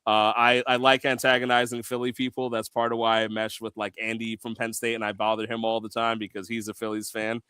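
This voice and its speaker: A man from Guyana chats casually on a podcast with a crisp, resonant voice. He jokes a lot and restarts his sentences often. The sound is dry and close to the mic. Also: mid-range voice, a little fast, few pauses, no room sound. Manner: animated, joking, lots of restarts and laughs. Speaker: podcast co-host. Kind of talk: casual chat.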